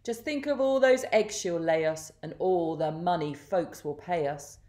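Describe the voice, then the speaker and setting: A woman's voice: fairly low pitch, a little slow, mellow, natural voice. A woman voicing a scheming, bossy, hefty male crook in a children's story.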